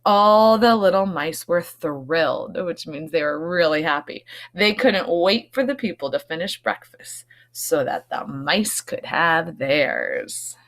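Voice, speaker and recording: A woman's voice: low-pitched, animated, takes it slowly, hardly any pauses, a bit bright. A woman teacher reading a storybook aloud to young children, expressively, with explanatory asides.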